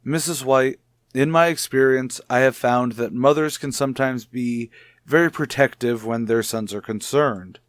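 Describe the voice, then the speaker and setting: An actor playing a composed, authoritative child psychologist in a 1950s radio soap, speaking formally and in a measured way. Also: male voice, mid-range voice, no room sound, clean studio sound, lightly acted.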